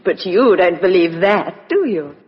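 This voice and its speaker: A woman's voice: fairly low pitch, animated, unhurried. An actress playing a proud, defiant young woman under suspicion in a 1950s radio play, with a clipped, challenging manner. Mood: defiant, wary. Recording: muffled vintage radio broadcast, hall reverb.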